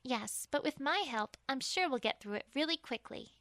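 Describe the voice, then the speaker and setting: A woman's voice: high voice, expressive, moderate pace, a bit bright, dry recording. A woman voicing a friendly, studious young adult female pony in an audio story, earnest and articulate. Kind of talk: acted audio story dialogue.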